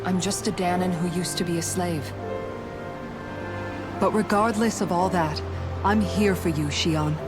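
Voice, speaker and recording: An actress playing a kind, steady warrior woman comforting friends in a video game cutscene. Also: on the low side, measured pace, pauses often, close to an everyday voice. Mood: reassuring. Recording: music behind the voice.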